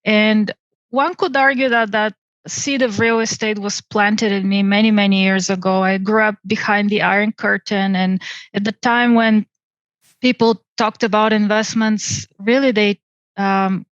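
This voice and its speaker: Female guest from Bulgaria, measured and polite, dry lo-fi audio. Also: medium pitch, steady tone, no echo. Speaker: podcast guest, real estate investor. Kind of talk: interview answers. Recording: dry, dull lo-fi remote audio.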